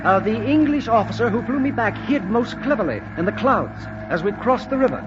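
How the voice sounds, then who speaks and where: A high-voiced, courteous lieutenant. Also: male voice, animated, over music. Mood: polite, precise. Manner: formal, courteous, halting. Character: polite Chinese lieutenant guide, earnest, stoic. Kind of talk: 1940s radio drama acting. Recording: muffled old radio, engine noise.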